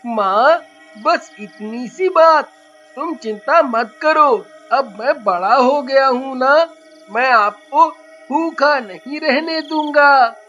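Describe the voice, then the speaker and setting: A man's voice: very high-pitched, slow pace, pushed and bright, full character voice. A man voicing a poor, caring young boy in a moral story, with a childlike, earnest manner. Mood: concerned, reassuring. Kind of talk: animated moral story dialogue. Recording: muffled audio with music behind.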